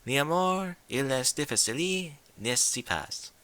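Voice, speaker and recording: Storybook casting: male reader as refined lady pony, raised pitch, bright tone, genteel and gently teasing. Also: expressive, takes it slowly, clear, resonant tone, no room sound. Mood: gracious. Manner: genteel, formal diction with French flourishes.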